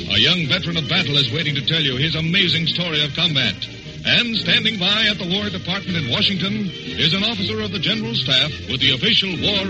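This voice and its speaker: A man's voice: on the high side, rough recording. A man with an American accent announcing a scripted wartime radio program in a formal, declamatory style of the 1940s. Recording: vintage 1940s radio broadcast with music.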